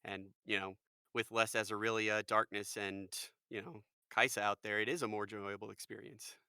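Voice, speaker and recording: A man in his forties with a bright, forceful tone and a voice a little lower than he describes it. He chats animatedly, slipping into lively quoted voices. The sound is dry and close. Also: normal speed, clean tone, no echo. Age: 40s. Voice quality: fairly high-pitched voice. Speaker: podcast guest, voice actor. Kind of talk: casual chat.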